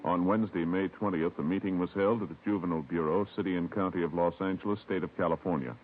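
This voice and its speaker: A low-voiced man announces in solemn vintage radio style. His delivery is formal and scripted, slightly husky, over a band-limited old recording. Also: quick pace, narrow, tinny audio, faint music behind.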